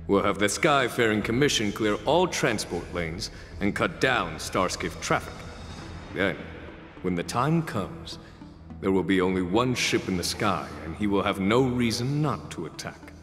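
A deep-voiced, composed strategist general in a video game. He lays out his plans calmly and confidently, with a long reverb and soft music behind him. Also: normal speed. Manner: composed, explanatory.

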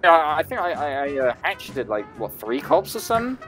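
A man's voice: on the high side, very animated, brisk, over music. A man chatting casually on an in-game phone call, stammering as he goes. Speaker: fellow roleplay player.

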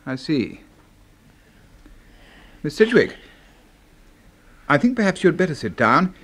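Pompous English brigadier, theatrically pitched. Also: male voice, very animated, slow pace, fairly bright tone, heavily acted. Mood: coolly commanding. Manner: pompous, clipped, commanding. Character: pompous, deranged brigadier boss, secretly a serial killer. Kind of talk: 1970s BBC radio horror drama acting.

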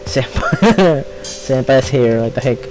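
Gamer doing casual lets play, slow playful reactions, music clearly behind. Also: medium pitch, lively intonation, poor audio. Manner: playful, reactive, fragmented remarks. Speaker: gaming video host. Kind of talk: casual let's-play commentary. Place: home room, no studio. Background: game music.

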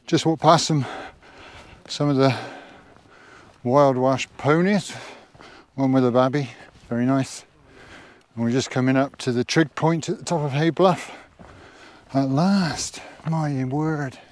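A man's voice: mid-range voice, slow, long pauses. An English man in his sixties with an English accent, chatting casually and wryly to camera as an outdoor vlogger, on a clip-on mic out on an open hilltop. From England. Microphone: clip-on lapel mic.

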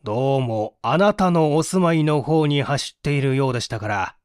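A man reading a mystery story as dramatic narration, with measured, theatrical phrasing and deliberate pauses. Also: mid-range voice, clear, resonant tone, dry recording, studio quality. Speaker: audiobook reader and small-theatre actor.

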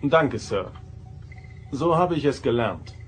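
A man's voice: medium pitch, very animated, takes it slowly, faint music behind. Starship commander, male, confident, formal, middle register, sci-fi audio drama. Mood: respectful, firm. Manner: respectful, firm, formal. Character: confident, dutiful male first officer of a starship. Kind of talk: German audio drama acting.

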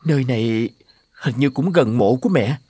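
A man's voice: medium pitch, expressive, moderate pace, mellow, slightly rough, dry recording. A man voicing a sorrowful, mistreated young orphan in a cartoon fairy tale, earnest and lamenting. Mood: bitter, distressed. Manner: lamenting, plaintive. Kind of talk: animated fairy-tale dubbing. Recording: music under the voice.

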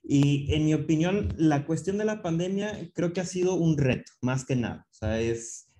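A man's voice: fairly high pitch, lively intonation, moderate pace, mellow. A man of twenty-seven, speaking thoughtfully as a webinar panelist on a video call, often restarting words. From northern Mexico. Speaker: panelist, founder of a youth organization. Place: indoor office.

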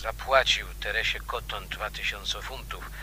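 An actor playing a grave, matter-of-fact investigator in a radio mystery, reporting in terse, deliberate phrases. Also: male voice, lowish voice, steady tone, bright, forceful tone, breathy edge, exaggerated voice. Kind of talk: radio mystery drama acting.

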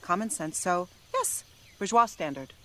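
An actress playing a wry, sharp-witted 1930s writer and wife, deadpan and pedantic, in a naturalistic radio drama. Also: female voice, on the low side, a little slow. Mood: pedantic, musing.

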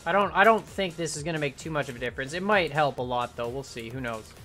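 Young man streaming, voice getting hoarse. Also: on the high side, animated, fast, sharp tone, faint music behind. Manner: rambling, self-correcting, thinks aloud. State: voice going hoarse. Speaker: game streamer. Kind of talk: casual live-stream commentary while building. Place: home room at a computer.

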